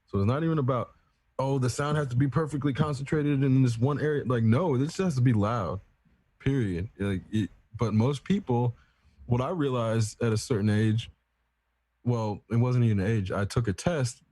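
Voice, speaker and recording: A man's voice: medium pitch, normal speed, warm tone, no echo. A man in casual chat, explaining at length in a rambling, profane, self-assured way. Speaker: podcast co-host.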